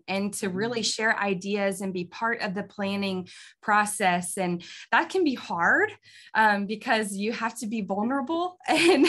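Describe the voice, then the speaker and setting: A woman's voice: medium pitch, fairly bright tone. A young woman, a kids ministry pastor, answering interview questions in an upbeat, casual way and laughing often, on a video call from a small office. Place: small office with bookshelves, indoors. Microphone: video call webcam.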